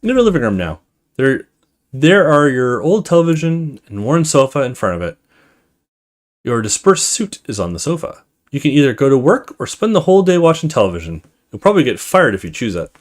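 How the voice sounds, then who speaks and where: A man's voice: mid-range voice, big pitch swings, brisk. A man hosting a gaming video, reading story text aloud expressively in a casual let's play style.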